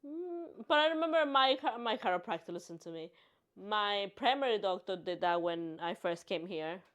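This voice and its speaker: A woman's voice: mid-range voice, expressive, moderate pace. A woman in her late twenties co-hosting a podcast in a casual, chatty style. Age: late 20s. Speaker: podcast co-host.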